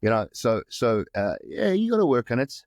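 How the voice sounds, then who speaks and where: A South African actor in his forties, telling stories in a lively way and often repeating words, speaking over a video call from a room with a plain wall. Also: mid-range voice, brisk, no room sound, clean studio sound. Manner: animated storyteller, often repeats words, slips into other accents. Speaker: actor guest.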